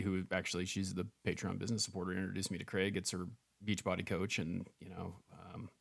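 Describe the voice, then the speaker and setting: A man hosting a podcast, asking questions in a relaxed, conversational way. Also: sits low. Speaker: podcast host. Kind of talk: casual interview.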